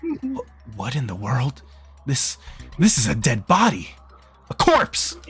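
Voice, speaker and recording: A man's voice: medium pitch, wildly swooping pitch, slow pace, fairly bright tone, husky, faint music behind, pitched above the performer's own voice, exaggerated voice. A man voicing a horrified, scornful man with a blunt manner. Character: horrified, scornful man insisting on grim facts.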